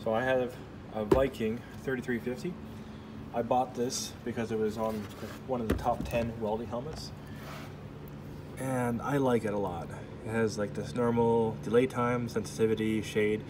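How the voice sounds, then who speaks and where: A man casually reviewing his welding helmet, talking in a halting, rambling way with self-corrections and small jokes, recorded on a handheld phone in a workshop. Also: mid-range voice, level tone, noisy background. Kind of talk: casual off-the-cuff product review. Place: workshop, indoors. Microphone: phone camera held in hand.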